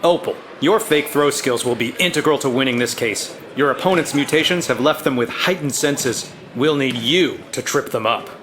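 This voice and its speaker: Man playing a stern, mid-pitched corporate handler. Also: normal speed, few pauses, fairly bright tone, a little hiss or hum, close to an everyday voice. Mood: brisk, commanding. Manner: curt, commanding. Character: stern, businesslike corporate handler briefing a team. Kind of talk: mission briefing.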